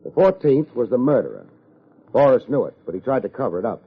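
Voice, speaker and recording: Husky hard-boiled American private eye. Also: male voice, mid-range voice, sing-song, fast. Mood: hard, accusing. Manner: clipped, hard-boiled, sardonic. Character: tough, wry, hard-boiled male private investigator. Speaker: lead actor in a radio detective drama. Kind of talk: 1950s radio detective acting and first-person narration. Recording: muffled vintage radio recording.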